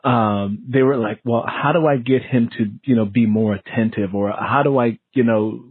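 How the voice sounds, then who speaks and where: A man hosts a book interview in an easy, conversational register. His voice sits at a middle pitch and is recorded dry and close. He talks informally, with colloquial asides. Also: fairly even intonation, normal speed, husky, no room sound, phone-quality sound. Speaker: interviewer and fellow author.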